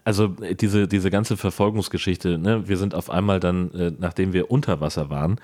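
A man's voice: deep voice, steady tone, normal speed, a little mellow. A man in a casual film discussion as a podcast host, talking in a colloquial, joking way.

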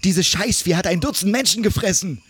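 A man's voice: high voice, a little fast, background noise, pitched above the performer's own voice, close to an everyday voice. A man playing an angry, swearing shark hunter, confrontational, in parody film dialogue. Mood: indignant. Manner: indignant, confrontational.

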